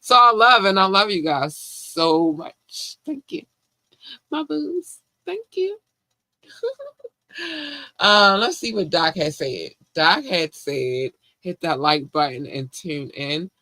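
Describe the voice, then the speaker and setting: A man with a Baltimore accent hosting a podcast, chatting casually and warmly shouting out his listeners in slangy talk. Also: fairly high pitch, sing-song, slow, no room sound. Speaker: podcast host. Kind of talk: casual live-stream chat.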